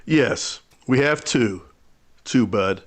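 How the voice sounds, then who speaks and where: An actor playing a kindly older rural storekeeper in a radio play, folksy, halting, hospitable and a little wistful. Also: male voice, medium pitch, lively intonation. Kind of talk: old-time radio drama acting.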